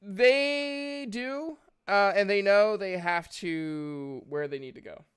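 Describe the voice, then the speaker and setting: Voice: medium pitch, slow, fairly bright tone, clear, resonant tone. A game master casually talking with players and narrating a tabletop game.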